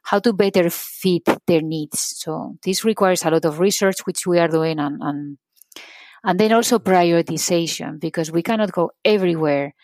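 A woman's voice: lowish voice, normal speed, darkish tone, no echo. A middle-aged woman from Spain with a Spanish accent, a company CEO answering interview questions earnestly and restarting phrases often.

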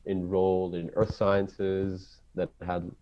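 A man from Israel with an Israeli accent, English not his native tongue, talking casually as a guest and often pausing to search for words. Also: deep voice, monotone, dark tone, highs cut off, slight background noise. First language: Hebrew. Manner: halting, searching for words, many fillers and restarts. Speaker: ecologist guest. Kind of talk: casual interview talk.

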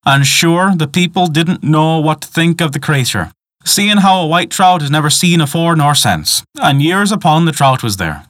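A man's voice: on the high side, expressive, moderate pace, fairly bright tone, no echo, clean studio sound. A man voicing an old peasant woman, a superstitious, folksy guide telling tales in dialect for a storybook. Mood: reverent storytelling.

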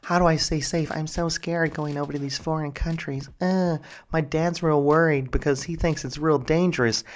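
An anxious young woman about to travel abroad, as a man mimics her, her voice pushed up high and pleading. She sounds fretful and sheltered. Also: fairly even intonation, normal speed, close to an everyday voice. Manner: whiny, worried, high-pitched.

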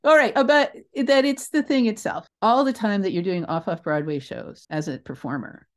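A woman's voice: medium pitch, moderate pace. A woman speaking as a guest in a conversational interview, reflective, wandering into asides and correcting herself as she goes. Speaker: guest, theatre writer and creator.

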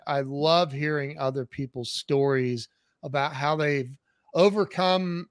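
A male podcast host who talks very slowly and trips over his openings, doubling words as he goes. His voice sits fairly high and sounds close and dry, with no room around it. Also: a bit bright, smooth, no room sound. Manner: halting, repeats words, slow. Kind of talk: interview chat.

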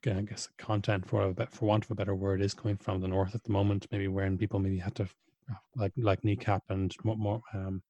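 A man's voice: fairly low pitch, flat delivery, fairly quick, mellow, no echo. A man who speaks Irish, talking things through in a casual conversation, hesitant and full of restarts. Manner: thoughtful, hesitant, many restarts and fillers.

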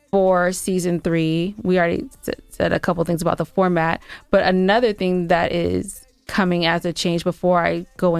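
A woman's voice: medium pitch, creaky, smooth, dry recording. An American woman co-hosting a podcast, chatting casually and giving show updates with playful banter. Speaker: podcast co-host.

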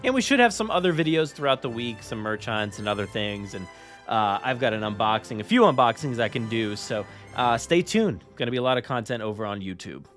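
A man's voice: mid-range voice, lively intonation, fairly quick, fairly bright tone, faint music behind. Casual male podcast host, chatty and informal. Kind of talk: casual chatty show intro.

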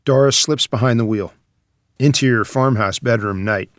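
Guy narrating stage directions from a script, medium pitch, no room echo. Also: moderate pace, clean studio sound.

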